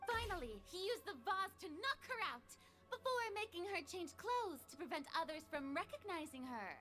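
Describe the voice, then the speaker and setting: A woman's voice: very high-pitched, big pitch swings, normal speed, bright, forceful tone, faint music behind, heavily acted. A woman voicing a haughty, theatrical young female deity in a video game, making a pompous, declamatory accusation. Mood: accusing, assured.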